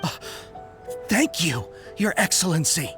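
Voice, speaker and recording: Earnest young soldier, swinging intonation. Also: male voice, a little high, very animated, measured pace. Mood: stunned. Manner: earnest, formal. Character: earnest, devoted young soldier, stubborn yet deferential. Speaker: soldier addressing a superior. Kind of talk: scripted video game voice acting. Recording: music clearly behind the voice.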